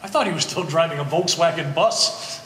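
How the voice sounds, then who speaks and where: A man playing an apologetic, friendly family acquaintance with a halting manner, in a staged play reading. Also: fairly high pitch, fairly bright tone, far from the mic, natural voice. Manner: hesitant, halting. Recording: echoey hall, faint background noise.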